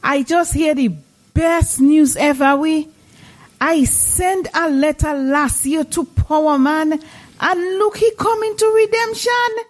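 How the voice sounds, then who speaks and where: A woman playing a devout, gullible, excitable older village woman with a Dominican Caribbean accent, gushing and exclamatory, in a drama. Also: high-pitched, takes it slowly. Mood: amazed, overjoyed. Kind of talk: dramatised dialogue in Caribbean creole English.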